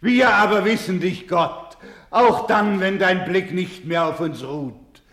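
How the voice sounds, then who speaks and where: Slow-speaking, anguished devout doctor. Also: male voice, high voice, fairly bright tone, exaggerated voice. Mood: desperate prayer. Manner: grave, pleading, solemn. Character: anguished, devout male doctor caring for orphaned children. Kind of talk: German radio drama acting.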